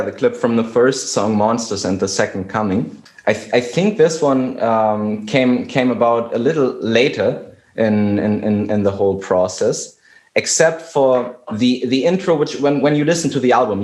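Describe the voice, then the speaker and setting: A man's voice: medium pitch, a little mellow. A man whose native language is German, a musician guest talking hesitantly with many restarts in casual conversation. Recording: roomy echo.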